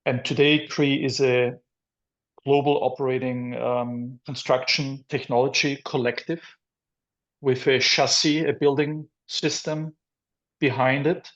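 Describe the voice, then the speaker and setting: A middle-aged man from Austria speaks in a flat, even voice. He talks in a measured way, pausing often. His audio sounds dull and muffled. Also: medium pitch. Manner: measured, many "um" pauses. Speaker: guest, business development director. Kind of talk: interview answers.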